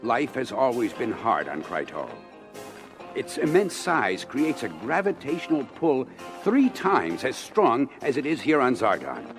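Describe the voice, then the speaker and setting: Formal, expressive government minister. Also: male voice, medium pitch. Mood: grave, lecturing. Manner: formal, measured, oratorical. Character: grave, dignified male government minister of space colony. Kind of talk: audio adventure record acting, briefing speech. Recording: vintage LP with music behind.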